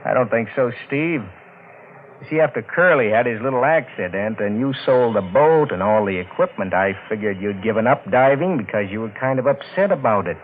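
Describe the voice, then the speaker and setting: A man's voice: mid-range voice, expressive, brisk, lightly acted. A man playing a sly, down-on-his-luck stowaway sailor with slangy, wheedling talk in an old-time radio drama. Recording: narrow-band vintage radio recording, faint noise.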